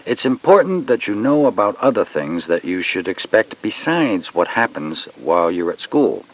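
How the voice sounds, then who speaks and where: A man reading scripted educational narration for children in a measured, instructive way, on a dull old cassette recording. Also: fairly low pitch, big pitch swings.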